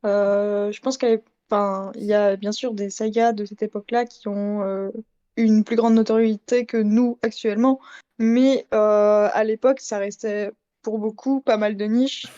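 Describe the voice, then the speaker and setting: Female podcast debater, mellow and dark timbre, measured, self-effacing delivery, very dry, dull-sounding mic. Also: mid-range voice, flat delivery, no echo. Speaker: podcast debate participant, audio fiction writer. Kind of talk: casual unscripted debate.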